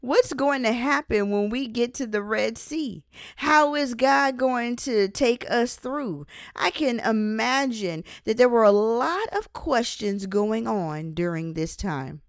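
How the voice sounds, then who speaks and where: Slow, deliberate woman reading inspirational teaching. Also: a little high, dry recording, studio quality. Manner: deliberate, emphatic phrasing with pauses. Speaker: author and host reading own book. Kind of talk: scripted inspirational audiobook-style reading with Bible teaching.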